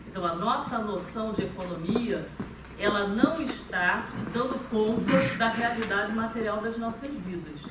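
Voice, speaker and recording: A woman with a Brazilian accent speaks at a meeting, thinking aloud and restarting phrases. She sounds far from the mic in an echoey room, with steady background noise. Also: medium pitch. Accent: Brazilian Portuguese. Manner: thoughtful, many hesitant fillers and restarts. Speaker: conference speaker. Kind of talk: academic talk at a meeting.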